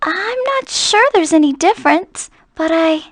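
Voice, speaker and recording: A polite, gentle young noblewoman for a video game. Her voice is very high and she speaks in measured, formal phrases, recorded dry. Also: animated, no echo. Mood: hesitant, grateful. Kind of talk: English video game dub acting.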